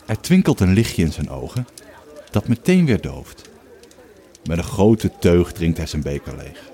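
A man with a very deep voice narrates a scripted story. His tone is dark and mellow, and he delivers each line in a measured way. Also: wildly swooping pitch.

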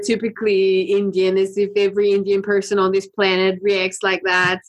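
A woman from Austria with as her chats her way through news stories as a podcast co-host. She talks loosely, thinks out loud and laughs often, at a middle pitch. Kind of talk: casual news chat.

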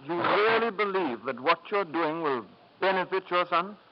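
A man's voice: sits high, animated, moderate pace, very noisy. An actor playing a principled, earnest schoolmaster in a 1950s radio drama, formal and hesitant in his delivery. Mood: distressed, pleading. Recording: muffled 1950s radio broadcast.

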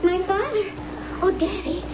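Very high-pitched, fragile young wife. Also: female voice, a little slow. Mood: guilty, upset. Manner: fraught, emotional. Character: distraught, fragile young wife and guilt-ridden daughter. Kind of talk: 1970s radio drama acting. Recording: muffled, noisy 1970s radio broadcast recording.

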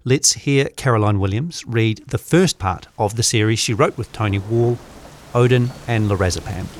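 A man's voice: lowish voice, normal speed, slight background noise. A man, hosting a podcast in a conversational style. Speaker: podcast host.

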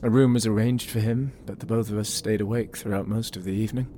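An actor playing a proud exiled lord who narrates a fantasy audio drama in a solemn, theatrical way with dramatic pauses. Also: male voice, lowish voice, steady tone, mellow, a little hiss or hum, close to an everyday voice. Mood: tender, wistful. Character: proud exiled young lord narrating his empire's bitter history.

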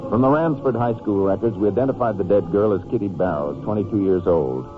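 An actor playing a steady, matter-of-fact Texas Ranger investigating a case in an old-time radio crime drama. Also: male voice, lowish voice, fairly quick, over loud music, natural voice. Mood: narrating. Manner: matter-of-fact, clipped, polite. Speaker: lead detective and case narrator. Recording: muffled, noisy vintage radio recording.

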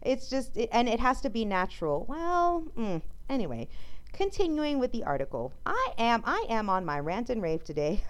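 A woman hosting a podcast, chatting casually and emphatically as she reads from an article, her voice going a little hoarse. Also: on the high side, big pitch swings, moderate pace. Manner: rambling, emphatic, with frequent fillers and restarts. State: losing her voice. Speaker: podcast host. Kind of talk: casual solo talk, reading and reacting to an article.

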